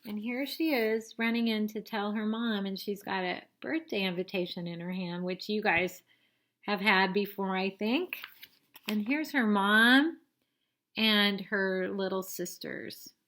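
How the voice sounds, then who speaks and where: A woman reads a storybook aloud in a teacherly, expressive way. She takes her time, with a medium-pitched voice, and steps out of the text to explain things and put simple questions to young listeners. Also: measured pace, slight background noise. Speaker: teacher reading a picture book aloud to children.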